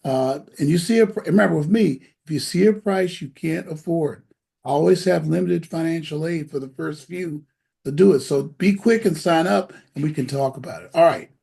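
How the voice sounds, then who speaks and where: A man's voice: on the high side, moderate pace, vocal fry, warm tone. A man hosting a casual interview, talking to parents in a folksy, persuasive, rambling way. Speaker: host of an education program show.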